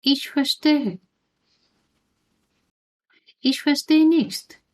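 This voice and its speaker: Slow, high-voiced woman reading phrases. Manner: slow, carefully clear, each phrase said twice. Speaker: language teacher. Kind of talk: reading phrases aloud for learners to repeat.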